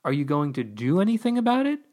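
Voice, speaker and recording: A man's voice: fairly high pitch, lively intonation, a little fast. A man voicing a flustered, chatty escapee from the underworld in a story, rambling with mock-formal politeness. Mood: exasperated, pleading.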